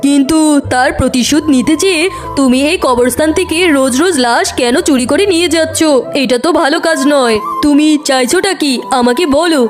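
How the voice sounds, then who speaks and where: A woman's voice: high voice, brisk, over music, lightly acted. A woman playing an earnest cartoon fox husband, reasoning and pleading in a conciliatory way. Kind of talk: dramatic cartoon voice acting.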